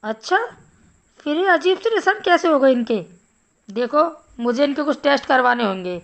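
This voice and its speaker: A woman voicing a concerned male village doctor in an animated Hindi story, explaining things in a measured way. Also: sits high, gravelly. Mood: reassuring. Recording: music behind the voice.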